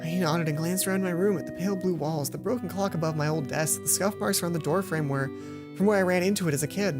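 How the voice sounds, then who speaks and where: A woman with a slightly low voice reads a visual novel aloud as a let's play host. She now and then trips over a line and takes it again. Music plays clearly behind her. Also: fast. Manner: stumbles over lines and rereads them.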